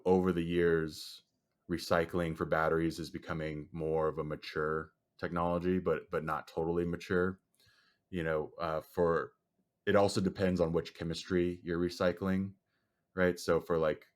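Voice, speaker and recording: A man whose first language is Chinese, answering podcast interview questions in a measured way with frequent "you know" fillers. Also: extremely low pitch. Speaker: guest, storage company executive.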